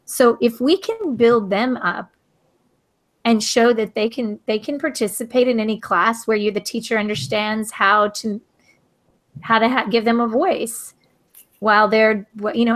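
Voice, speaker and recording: A woman educator hosting a video call discussion, speaking earnestly and emphatically, often restarting mid-sentence. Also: fairly high pitch. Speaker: educator, hangout host and author.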